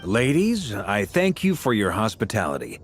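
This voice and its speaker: A man playing a suave, courteous and theatrical gentleman in a video game. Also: fairly low pitch, very animated, moderate pace, faint music behind. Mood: gallant. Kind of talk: scripted video game dialogue.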